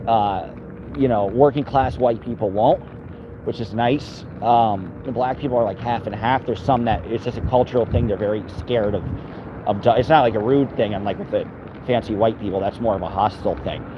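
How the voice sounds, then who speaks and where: A man's voice: on the low side, moderate pace, dull audio, background noise. A man walking his dog outdoors on city streets, chatting casually to his live-stream viewers and his dog in a rambling way.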